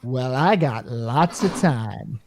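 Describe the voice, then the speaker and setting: A brash salesman played by an actor in a radio comedy, with a very animated, swooping delivery. The patter is slangy and wry, that of a worn-down working-class wisecracker. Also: mid-range voice, slow pace. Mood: exasperated. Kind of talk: hobby radio play acting.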